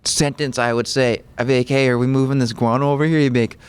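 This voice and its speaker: A man's voice: mid-range voice, fairly even intonation, fast talker, clean tone, dry recording. Rambling young man, casual swearing. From Russia. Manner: loose, rambling, swears often. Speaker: podcast co-host. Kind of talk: casual chat.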